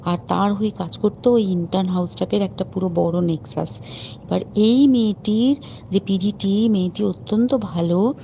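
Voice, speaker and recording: Woman, mellow tone, indignant and emphatic, informal telling over a dull phone recording. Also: mid-range voice, normal speed, vocal fry. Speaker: caller. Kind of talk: informal spoken account on a phone call. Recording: muffled, noisy phone call recording.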